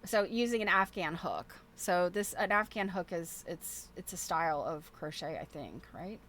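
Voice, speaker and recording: A woman's voice: on the low side, expressive, moderate pace, a bit bright, slight background noise. A middle-aged woman chatting casually as she shows things to viewers, thinking aloud in a rambling, chuckly way. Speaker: host of a quilting show. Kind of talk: casual show-and-tell chat.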